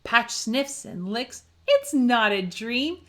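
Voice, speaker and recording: Woman, children's story reader, higher-pitched, unhurried, rhythmic, expressive, child-directed delivery. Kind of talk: expressive picture-book read-aloud.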